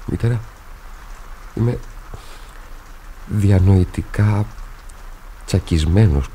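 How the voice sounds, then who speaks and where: An actor as a brooding young son, noisy recording. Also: male voice, deep voice, dark tone, close to an everyday voice. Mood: anguished confession. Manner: halting, heavy, intimate with his mother. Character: brooding, weary young artist son, tormented and petulant. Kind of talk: radio theatre acting.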